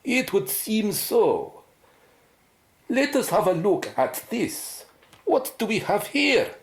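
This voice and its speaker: A woman playing a curious, authoritative senior research scientist in a radio drama, speaking formally and deliberately. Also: mid-range voice. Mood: intrigued, wary. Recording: roomy, echoing sound.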